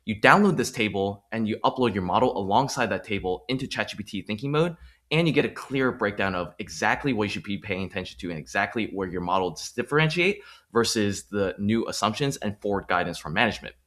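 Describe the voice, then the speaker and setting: A man's voice: on the low side, expressive, fast, fairly bright tone. A man hosting an explanatory tutorial, talking the viewer through each step in an emphatic, promotional way. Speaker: host of a finance tutorial. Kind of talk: explanatory screen tutorial with sales-pitch phrasing.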